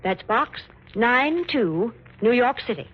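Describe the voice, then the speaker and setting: High-pitched chatty radio spokeswoman. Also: expressive, takes it slowly, breathy edge. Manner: chatty, enthusiastic selling. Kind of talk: 1940s radio advertising pitch. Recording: old dull radio recording.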